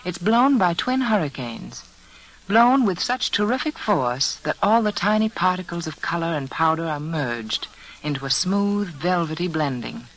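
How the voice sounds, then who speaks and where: Woman as vintage radio commercial hostess, polished and persuasive, low-set voice with lively melody. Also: moderate pace, poor audio. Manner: polished, persuasive, carefully phrased. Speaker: commercial spokeswoman. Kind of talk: scripted 1940s radio advertisement.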